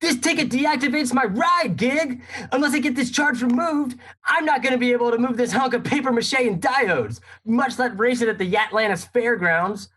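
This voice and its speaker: An actor playing a hungover, cranky young float racer with a husky voice and exasperated, whiny delivery in a comic radio drama. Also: mid-range voice, normal speed, few pauses, a bit bright. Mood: panicked.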